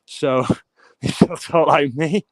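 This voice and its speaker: A man's voice: a little high, fairly even intonation, slow pace, no room sound. A man with a Lancashire accent chatting casually on a video call panel, musing and repeating words as he thinks. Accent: Lancashire English. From England.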